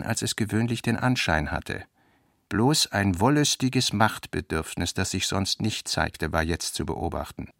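A man reading literary narration for a radio play in a measured, carefully articulated way. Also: medium pitch, gravelly, fairly bright tone, no room sound, studio quality.

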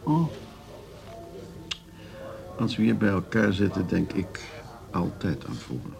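A man's voice: sits low, big pitch swings, slow, gravelly, a crowd in the background, full character voice. An actor in a radio drama playing a worldly, wistful older man, musing in a condescending way with frequent tag questions.

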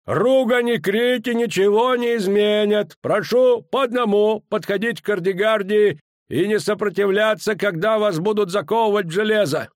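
Guy doing a bossy imperial commander barking orders way up high, super dry sound. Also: moderate pace, dry recording, lightly acted. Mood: commanding shout. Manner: shouting commands, hammering out each phrase. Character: bellowing imperial male commander, stern and overbearing.

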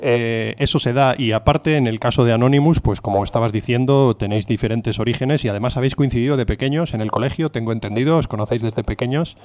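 A man's voice: mid-range voice, fast talker, hardly any pauses, a little mellow. A male radio host asking long, fluent interview questions.

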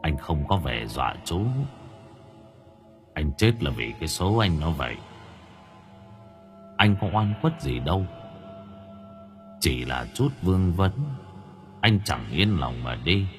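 A man voicing the ghost of an older village man who speaks in a low, even, murmured monotone. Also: slow, pauses often, music in the background, exaggerated voice. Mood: solemn.

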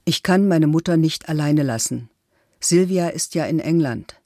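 A woman's voice: deep voice, mellow, dry recording. Composed woman reading in a dry studio. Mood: formal, intimate. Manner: measured, formal, articulate. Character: accused middle-aged woman, composed and firm. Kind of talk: documentary reading of statements and letters. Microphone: close studio microphone.

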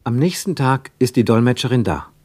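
A male narrator for a radio drama with a mid-pitched voice. He tells the story in literary, measured phrases with lively intonation. The sound is very dry. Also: breathy edge, no echo. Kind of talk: scripted radio play narration.

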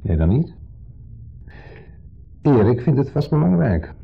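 An actor playing a wry, unsettling ex-husband in a 1970s radio play, speaking with pointed deliberation. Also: male voice, medium pitch, sing-song, takes it slowly, vocal fry, slightly rough, soft background music, full character voice. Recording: dull muffled old radio recording.

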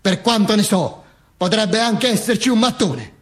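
A man's voice: high voice, animated, moderate pace, voice pushed up. A man voicing a dictator's grieving old widow, solemn, insistent and defiant, in a stage monologue. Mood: suspicious, indignant.

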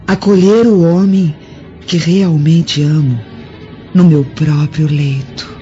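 A sly, seductive Roman noblewoman in a radio drama. Her delivery is unhurried, hushed and theatrical. Loud music swells behind a muffled recording. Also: low-pitched, steady tone. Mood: amorous, secretive.

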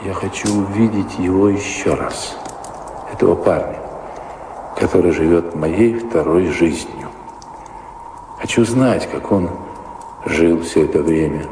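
An actor playing a weary, wistful middle-aged baron in a radio play, brooding and reflective in measured phrases. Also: male voice, low-pitched, background noise. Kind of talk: Russian radio play acting. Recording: echoey reverberant studio drama with prominent music.

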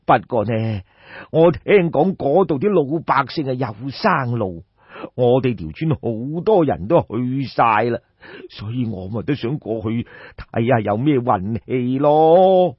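A man voicing a destitute old peasant in an audiobook story, sorrowful and respectful, with sighing, plaintive, drawn-out emphatic phrases. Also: medium pitch, lively intonation, husky, dry recording. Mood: resigned. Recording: dull, muffled lo-fi audio.

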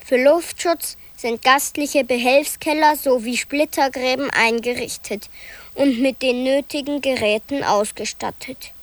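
Woman reading a document aloud, matter-of-fact, unhurried, fairly high voice, dry close sound, listing details calmly. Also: dry recording. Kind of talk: scripted reading of an official document.

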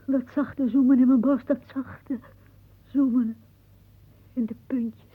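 Plaintive, frail old aunt, muffled. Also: female voice, sits high, fairly even intonation, slow, slightly rough, full character voice. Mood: wistful, remembering. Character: frail, anxious, possessive old aunt who cannot walk. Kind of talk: radio play acting. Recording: muffled, narrow-band old radio recording.

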